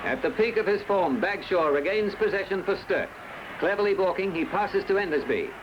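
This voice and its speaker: A man with an Australian accent narrating a scripted 1970s sports newsreel in formal, measured phrasing. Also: high-pitched, lively intonation. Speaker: newsreel commentator. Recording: dull, noisy old newsreel soundtrack.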